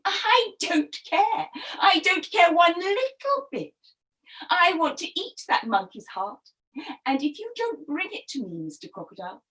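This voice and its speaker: A jealous, demanding crocodile wife from a storybook, acted out by a woman. Her voice is high and swoops widely, and she draws her words out slowly for dramatic emphasis. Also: wildly swooping pitch, heavily acted, rough recording. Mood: cross, stubborn. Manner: slow, drawn-out, dramatic emphasis.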